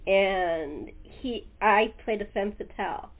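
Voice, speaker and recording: A woman's voice: mid-range voice, slow pace, narrow, tinny audio. A middle-aged woman hosting a casual, chatty interview. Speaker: interview host.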